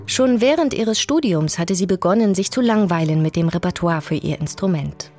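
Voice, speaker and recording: Low-voiced woman narrating a documentary. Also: animated, normal speed, darkish tone, faint music behind. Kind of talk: scripted radio documentary narration.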